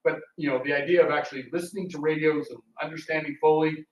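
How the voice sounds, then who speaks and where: Man with a higher voice, echoey room. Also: steady tone, quick pace. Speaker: radio theatre performer on a discussion panel. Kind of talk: casual live panel talk. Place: indoor room, public talk at a library. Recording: roomy, distant mic with background noise.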